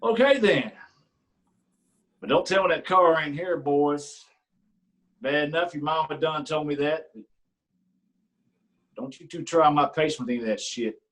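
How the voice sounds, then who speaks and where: A man playing a rural lawman in a staged reading, needling others with slow, threatening questions. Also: a little high, fairly bright tone. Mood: menacing. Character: menacing man who is the local law.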